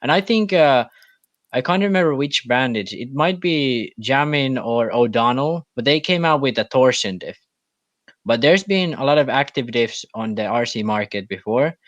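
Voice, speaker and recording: A man's voice: mid-range voice, expressive, normal speed, a bit bright, no room sound. Man explaining with many fillers. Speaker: podcast co-host. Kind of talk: casual podcast chat. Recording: dull, dry lo-fi mic.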